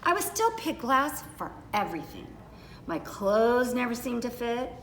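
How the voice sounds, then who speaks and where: Animated, slow-paced woman reading a storybook. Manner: expressive, dramatic pauses and drawn-out emphasis. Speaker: teacher reading a picture book aloud. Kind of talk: animated storybook read-aloud for children. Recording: roomy echo with low background noise.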